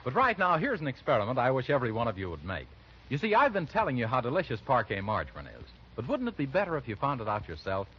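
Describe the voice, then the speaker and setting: A man's voice: mid-range voice, huge pitch swings, fast talker, slightly rough. A man announcing in 1940s radio commercial style, emphatic and persuasive. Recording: muffled 1940s radio broadcast.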